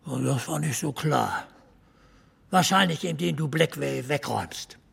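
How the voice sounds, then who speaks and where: Very creaky, dry-witted drinking buddy. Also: male voice, a little high, animated, unhurried, a bit bright, exaggerated voice. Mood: dry, matter-of-fact. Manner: dry, laconic teasing. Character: small-town male drinking buddy, dry, needling gossip. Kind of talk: radio drama acting.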